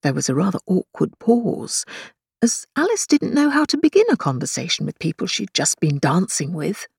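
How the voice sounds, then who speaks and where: A woman reading a children's story aloud in an expressive narrating style. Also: on the low side, normal speed, gravelly, husky, dry recording, studio quality. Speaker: volunteer audiobook reader.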